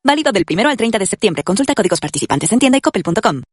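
A woman's voice: mid-range voice, sing-song, very fast. A woman voicing a retail commercial with an upbeat, selling delivery. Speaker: commercial announcer. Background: loud music.

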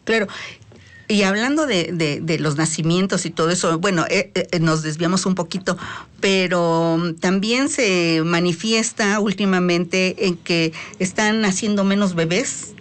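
Chatty Mexican woman radio host. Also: on the low side. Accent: Mexican Spanish. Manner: chatty, drawn-out words, frequent "eh" fillers. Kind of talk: live talk radio.

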